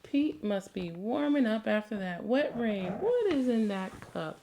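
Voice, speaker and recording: A woman reading a picture book aloud alongside her child, with a gentle, coaxing teacher tone and questions for young listeners. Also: mid-range voice, big pitch swings, a little slow, slight background noise. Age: adult parent. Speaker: child care center staff member reading to children.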